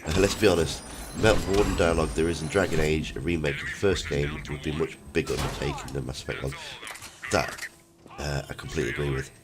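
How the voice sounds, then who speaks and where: A man hosting a gaming livestream, chatting casually in a halting way and trailing off as he plays. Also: very deep voice, fairly even intonation, noisy background. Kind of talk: casual chat over gameplay. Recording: loud game gunfire behind the voice. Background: video game gunfire and combat sounds.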